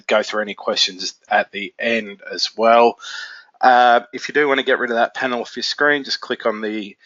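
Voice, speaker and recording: A man with a stuffy nose from a cold, presenting a software training webinar in a casual, filler-heavy way. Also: mid-range voice, no room sound. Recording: dull, lo-fi webinar audio.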